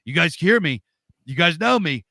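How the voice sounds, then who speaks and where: Loud guy, 40s, big voice, dry close sound, just chatting as a guest. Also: on the high side, sing-song, moderate pace, creaky, dry recording. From Pennsylvania, USA. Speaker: interview guest. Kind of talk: casual storytelling.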